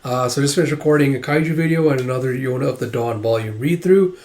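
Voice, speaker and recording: A man with a hoarse voice from a cold, hosting a manga read-through channel and chatting casually, rambling with many fillers. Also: mid-range voice, fast talker, a little mellow. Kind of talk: casual chat to camera. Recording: roomy echo.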